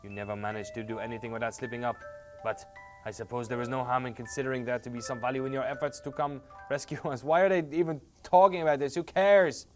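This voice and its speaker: A man's voice: mid-range voice, fairly even intonation, fast, music in the background. Sarcastic Irish man voicing game dialogue. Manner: sarcastic, swears and jokes between read lines. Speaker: host playing a game. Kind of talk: reading a game's dialogue aloud with commentary.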